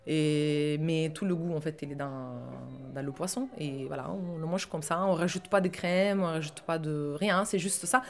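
Give me a woman from Italy with a low voice, speaking haltingly with many fillers as a guest. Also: fairly even intonation. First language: Italian. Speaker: guest, restaurant cook. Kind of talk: casual interview talk.

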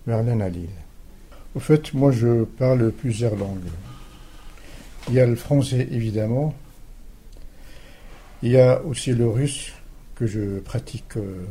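A man's voice: medium pitch, dark tone, a little hiss or hum. A man answering casually in an interview, speaking in a hesitant, reflective way. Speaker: school technical staff member. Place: recorded at a secondary school.

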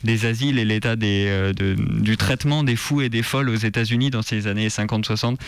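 A man's voice: fairly low pitch, steady tone, fairly quick, vocal fry, clear, resonant tone, dry recording. A man with a French accent presenting as a contributor on a radio show. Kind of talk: scripted narration. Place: radio studio.

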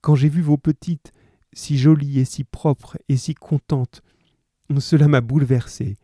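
A man's voice: a little high, steady tone, a little slow, mellow, no echo, clean studio sound, pitched above the performer's own voice. A man voicing an anxious, pleading poor young mother, hesitant and earnest, in an audiobook.

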